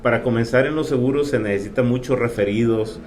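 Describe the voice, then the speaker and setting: A man, an insurance agent speaking as a guest, earnest and hesitant with many pauses. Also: medium pitch, crowd noise. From Honduras. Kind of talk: casual interview. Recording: faint background noise.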